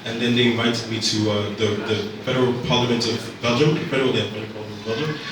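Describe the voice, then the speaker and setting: A young man in his twenties from Zambia telling his life story to an audience in a relaxed, halting way with many fillers. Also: medium pitch, noisy background. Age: 20s. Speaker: speaker at a storytelling event. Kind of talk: personal storytelling talk. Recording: echoey room picked up from a distance.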